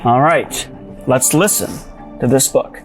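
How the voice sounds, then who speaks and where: A woman's voice: very deep voice, slow. A woman presenting an educational children's show in an explanatory, encouraging manner. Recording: music behind the voice.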